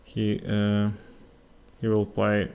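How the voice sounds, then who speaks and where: A young man with a Russian accent talks slowly through a chess game, his voice low and gravelly. He pauses often and fills the gaps with hesitant fillers as he thinks aloud. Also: monotone, a little mellow, slight background noise. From Latvia. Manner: halting, with many fillers and pauses. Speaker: chess commentator. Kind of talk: live chess commentary with chat.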